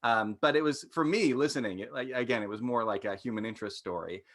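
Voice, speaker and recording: A man chats as a panelist in a casual discussion. His pitch is average, and he offers opinions informally, often repeating a word while he works out his thought. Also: quick pace, fairly bright tone.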